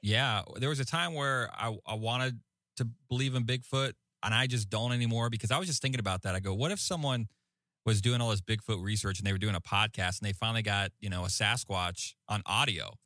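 A man co-hosting a podcast, chatting casually with an animated, jokey manner. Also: lowish voice, brisk, dry recording, studio quality. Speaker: podcast co-host. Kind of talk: casual chat, telling anecdotes.

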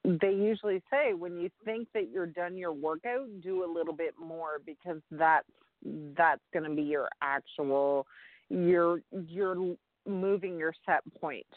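A man with a high voice chimes in emphatically, agreeing strongly and laughing along. His voice is dry and thin, like over a phone line. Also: measured pace, no room sound. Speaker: radio show co-host. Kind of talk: casual radio chat.

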